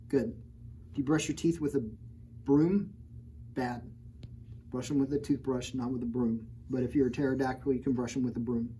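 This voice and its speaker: Woman librarian, storytime reader, mellow tone, playful teasing asides. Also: rumbling low, slight background noise. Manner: playful, teasing, stops often to point out pictures. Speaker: children's librarian. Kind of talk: storytime read-aloud with chatty asides.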